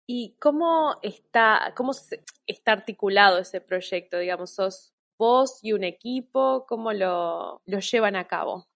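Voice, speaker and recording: A woman's voice: mid-range voice, expressive, unhurried, hardly any pauses, fairly bright tone. A woman hosting a podcast, asking short, curious follow-up questions. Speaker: podcast host. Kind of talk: interview questions.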